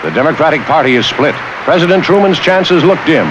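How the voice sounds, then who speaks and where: A man's voice: fairly high pitch, animated, brisk, noisy background. An American man narrating history from a script in the manner of a newsreel documentary.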